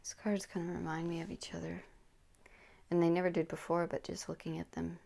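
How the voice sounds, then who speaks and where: A woman with a low voice giving a tarot card reading, speaking slowly and soothingly and often dropping into a whisper, close to the mic. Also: monotone, gravelly, dark tone. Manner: slow, soothing, drops into whispers. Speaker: tarot reader.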